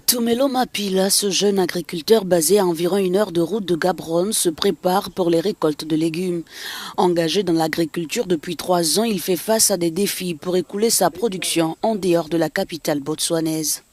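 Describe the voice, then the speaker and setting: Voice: mid-range voice, normal speed, a little mellow. A narrator reading scripted voice-over for television news reports.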